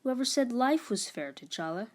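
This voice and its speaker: A woman's voice: a little high, very animated, moderate pace, voice pushed up. A woman voicing a proud, status-hungry middle-school boy in a storybook, with a casual, defiant manner. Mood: resentful.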